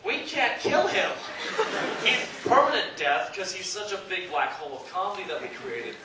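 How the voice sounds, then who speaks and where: A man's voice: high-pitched, moderate pace. A man on a convention panel telling stories in a casual, joking, rambling way. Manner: animated, joking, rambling storytelling. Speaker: panelist and abridged-series voice actor. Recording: echoey hall, distant mic, noisy crowd. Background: audience noise.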